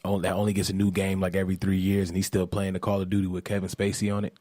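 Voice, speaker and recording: A man's voice: sits low, completely flat delivery, rapid-fire, warm tone. An American man bantering casually on a podcast, blunt and slangy in the way he talks. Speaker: podcast panelist.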